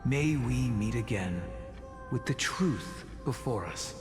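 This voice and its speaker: An actor playing an old sage and mentor in a game, wry and measured, speaking formally and deliberately. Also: male voice, medium pitch, expressive. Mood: solemn blessing. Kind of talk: dramatic scripted game dialogue. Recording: echoey long hall reverb, music behind.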